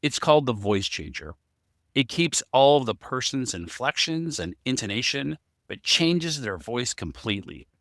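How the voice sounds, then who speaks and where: A man's voice: mid-range voice, big pitch swings, moderate pace, a bit bright, no echo, studio quality. A man explaining things conversationally as a podcast co-host.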